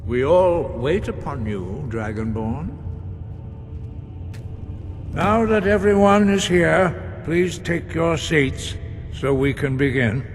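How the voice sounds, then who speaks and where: Slow, grave old monk. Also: male voice, a little high, expressive. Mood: patient, urging. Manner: solemn, measured. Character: grave, weary, disapproving elderly male monk elder. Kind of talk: fantasy game acting. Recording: prominent game music behind.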